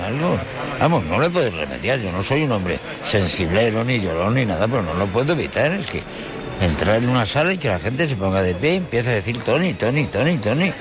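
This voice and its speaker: An older man with a Madrid accent, speaking effusively and with emotion in an archive interview. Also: lowish voice. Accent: Madrid Spanish. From Spain. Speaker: interviewed actor.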